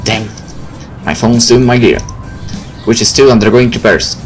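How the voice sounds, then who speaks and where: A man's voice: mid-range voice, fairly even intonation, moderate pace. A man reading a visual novel's narration and dialogue aloud as a let's player, with casual asides and game music behind.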